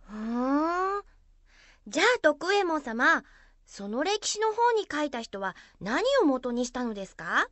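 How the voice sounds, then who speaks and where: Woman playing a high-pitched curious girl. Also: very animated, fairly bright tone, no echo, full character voice. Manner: inquisitive, drawn-out musing. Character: curious, admiring young schoolgirl. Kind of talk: amateur radio drama.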